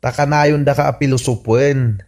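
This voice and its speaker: A man's voice: mid-range voice, fairly even intonation, moderate pace, a little mellow, natural voice. A man acting a teasing, exasperated husband in an Ilocano radio comedy-drama, mocking and nagging in an Ilocano Filipino accent. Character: teasing, exasperated husband who needles his bossy wife. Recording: music under the voice.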